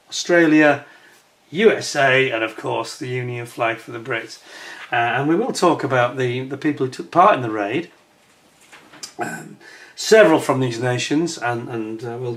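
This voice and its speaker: An older man from the United Kingdom with a croaky voice and a chesty cough, chatting casually to camera as host of his own channel, rambling with plenty of fillers and restarts. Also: medium pitch, fairly bright tone. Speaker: YouTube host.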